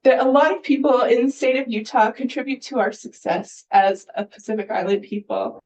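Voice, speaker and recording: A woman's voice: on the high side, normal speed, a little mellow, muffled recording. A woman from Hawaii giving an informal presentation as host at a public event. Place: indoor event hall, speaking at a podium.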